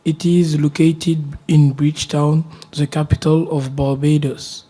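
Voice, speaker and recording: Teen student, flat slow delivery, mellow tone, reading a report. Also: medium pitch, rough recording. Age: teens. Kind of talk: presenting a school report.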